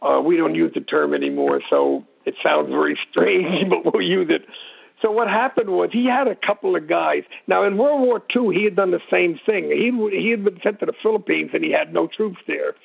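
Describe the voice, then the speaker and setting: A man with a gravelly, husky voice explaining history informally as an interview guest, rambling and digressing as he tells it. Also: sits high, normal speed. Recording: muffled narrow phone-like line.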